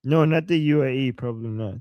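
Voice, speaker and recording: A man with a Persian accent co-hosting a live stream, reading out chat messages and reacting with animated, joking commentary and frequent laughter. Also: mid-range voice, fast, clean tone, no room sound, highs cut off.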